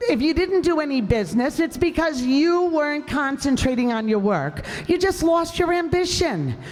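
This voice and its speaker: A woman voicing a nagging, sharp-tongued wife in a community radio comedy play, scolding and sarcastic. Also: high voice, very animated, normal speed. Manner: scolding, sarcastic, swings into tearful pleading. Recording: stage recording with long hall reverb.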